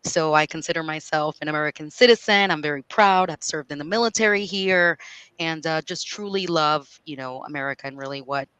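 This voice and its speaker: Upbeat female guest on a video call, smooth and resonant, speaking with lots of fillers. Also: sits low, fairly quick, fairly bright tone, no room sound, poor audio. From New York.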